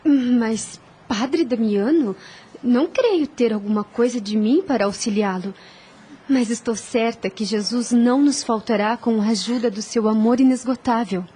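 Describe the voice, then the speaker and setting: A woman playing a devout, gentle young woman in an audio drama, with a solemn and formal way of speaking. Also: a little high, a little slow, husky. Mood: humble, devout. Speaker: voice actor.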